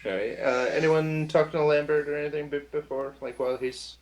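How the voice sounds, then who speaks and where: A man acting as game master, narrating a tabletop role-playing scene in a casual, loose, halting way. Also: fairly high pitch, fairly even intonation, low-quality recording.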